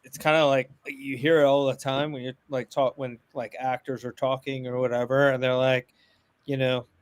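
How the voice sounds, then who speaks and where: A man's voice: medium pitch, little pitch movement, normal speed, smooth. A man hosting a casual chat, talking loosely and padding his sentences with fillers. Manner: leans on fillers like "like" and "you know".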